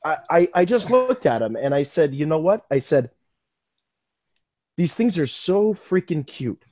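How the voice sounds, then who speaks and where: An American man co-hosting a casual live fan podcast, talking in an excitable, joking way. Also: a little high, a little slow, dry recording, studio quality. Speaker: podcast co-host.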